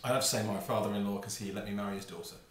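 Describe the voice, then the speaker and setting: A man's voice: on the low side, steady tone, rapid-fire, a bit bright. A man in his thirties answering quiz audition questions with playful jokes. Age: 30s. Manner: joking, playful. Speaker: quiz contestant. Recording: echoey room, faint noise.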